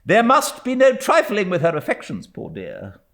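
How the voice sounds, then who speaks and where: A man's voice: high-pitched, huge pitch swings, moderate pace, a bit bright, pitched above the performer's own voice, exaggerated voice. A man voicing a stern, domineering elderly aunt in a storybook reading, with a commanding, clipped and indignant manner. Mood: imperious.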